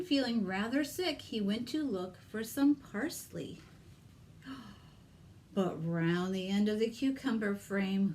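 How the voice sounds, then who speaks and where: A woman's voice: medium pitch, dark tone, a little hiss or hum. A woman hosting a children's storytime as a librarian, reading expressively and addressing young listeners with questions and exclamations.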